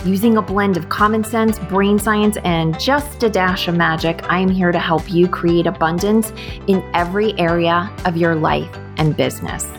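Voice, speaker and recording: A woman hosting a podcast, speaking in a thoughtful, measured way. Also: fairly low pitch, music in the background. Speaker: podcast host, coach. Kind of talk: podcast intro and interview.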